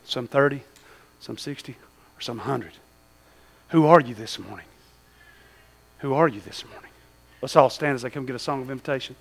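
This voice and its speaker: A man's voice: mid-range voice, moderate pace, vocal fry, long pauses. A man with a Southern US accent preaching an evangelistic sermon in a folksy, exhortatory way, putting questions to his listeners and repeating phrases for emphasis.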